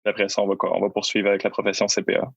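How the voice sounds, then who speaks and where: A man's voice: fairly low pitch, gravelly, husky, no room sound, lo-fi sound. A young man with a Québécois accent, joking a little while describing his studies and internship. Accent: Québécois French. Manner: flat, fast, joking. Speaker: accounting intern guest. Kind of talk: casual interview answers.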